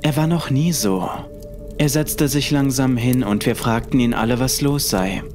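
A man's voice: medium pitch, moderate pace, darkish tone. A man narrating a scripted first-person horror story. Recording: music under the voice. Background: music.

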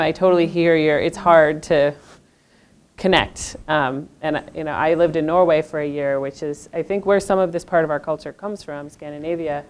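A woman panelist speaking earnestly in a lecture room, searching for words with frequent restarts. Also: low voice. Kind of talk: panel discussion.

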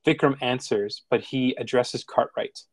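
A man narrating stage directions from a script in a measured, plain way. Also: medium pitch.

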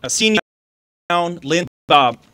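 A young man at an outdoor podium microphone, reading out award winners in a steady, formal way. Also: a little high, takes it slowly, noisy background. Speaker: awards presenter. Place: outdoor stage by a river.

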